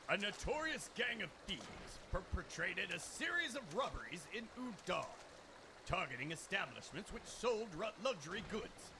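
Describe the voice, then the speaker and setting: A man voicing a pompous, boastful gentleman inspector in a video game, with grandiloquent, declamatory delivery. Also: high voice, noisy background. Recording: loud music behind. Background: game music.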